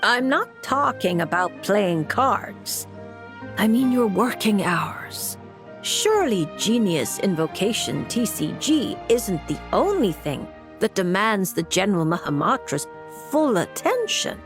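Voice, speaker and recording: A woman's voice: mid-range voice, big pitch swings, slow pace, soft background music. A woman acting a cool, composed and blunt fortune-telling mage with a dry manner in a video game. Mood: admonishing. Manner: composed, matter-of-fact, dry.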